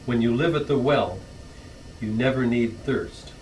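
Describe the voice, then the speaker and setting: A middle-aged man reading his own rhyming anniversary poem in a church hall, earnest, with small stumbles and soft laughs. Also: mid-range voice, unhurried, distant mic, a little hiss or hum.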